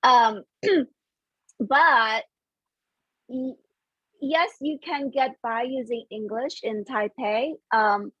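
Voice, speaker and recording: Friendly woman guest, first language indonesian, husky and a bit high, dull lo-fi call sound. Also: extremely slow, pauses often, a bit bright, no echo. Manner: friendly, laughs often, many fillers. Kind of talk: casual interview chat. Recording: muffled lo-fi stream audio.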